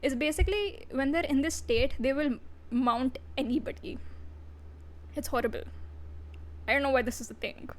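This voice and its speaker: High-voiced woman, blunt and profane. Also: moderate pace, pauses often. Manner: blunt, profane, with giggly asides. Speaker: VTuber reviewer. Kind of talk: casual livestream review.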